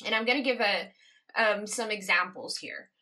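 A woman's voice: mid-range voice, normal speed, sharp tone, poor audio. A woman from the Caribbean hosting a podcast, talking in a reflective, casual monologue and laughing lightly between her points. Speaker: podcast host.

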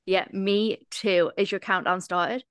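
A woman's voice: medium pitch, steady tone, slow pace, fairly bright tone, clean tone, no room sound, clean studio sound. Upbeat woman host. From East Midlands, England. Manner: upbeat, enthusiastic interviewer. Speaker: podcast host. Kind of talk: casual interview chat.

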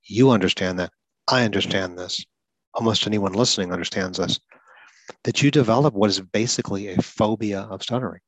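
Earnest male host who stutters, lowish voice, dry podcast sound. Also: brisk, dry recording, low-quality recording. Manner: earnest, explanatory, occasional repetitions. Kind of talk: advocacy talk about stuttering.

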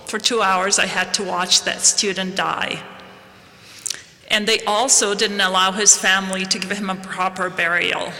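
A woman, from Palestine, giving a slow, measured, earnest devotional address to a congregation. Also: medium pitch, flat delivery, pushed and bright. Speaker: guest speaker at a church devotional. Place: large historic hall. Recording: long reverb of a large hall.

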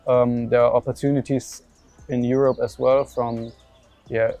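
A young man from Germany, a footballer, answering questions in a flat, even tone. He pauses often and fills gaps with ums and likes. Faint music plays behind him. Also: medium pitch, vocal fry. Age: young adult. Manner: hesitant, lots of fillers and restarts. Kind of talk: casual interview answers.

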